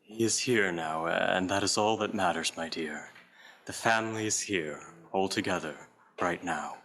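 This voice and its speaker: A man playing a gentle, loving, humble family father in an amateur radio play. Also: sits low, normal speed. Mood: warm, hesitant.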